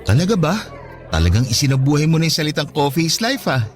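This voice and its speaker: Lively, easygoing Filipino young man. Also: fairly high pitch, quick pace. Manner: easygoing, gently persuasive. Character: patient, good-natured young churchgoing man, gently teasing. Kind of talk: conversational radio drama acting. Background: music bed.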